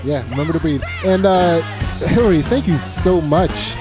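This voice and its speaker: A man's voice: a little high, lively intonation, moderate pace, over music. A man hosting a podcast, chatting casually and often circling back to rephrase as he goes. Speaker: podcast host. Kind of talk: casual conversational chat.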